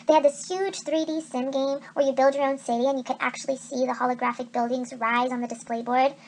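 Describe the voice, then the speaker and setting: A woman reads an adventure story aloud in a very high voice. She narrates expressively, like a teacher reading a novel to students. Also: steady tone, fast, a little mellow, a little hiss or hum.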